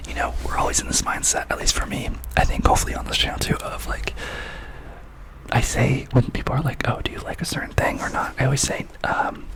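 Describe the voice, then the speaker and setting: Whispering young man rambling softly. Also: mid-range voice, huge pitch swings, fairly quick, rough recording. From Massachusetts. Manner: soft whisper, rambling with fillers and repeats. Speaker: ASMR channel host.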